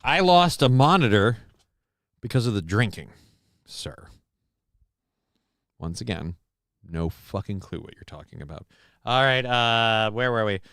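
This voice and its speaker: Smooth-voiced joking stream host. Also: male voice, on the low side, sing-song, measured pace, pauses often, a bit bright, no room sound, studio quality. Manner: profane, joking, reads viewer comments aloud; mock-grand war speech delivery. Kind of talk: casual live stream chat. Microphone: desk microphone.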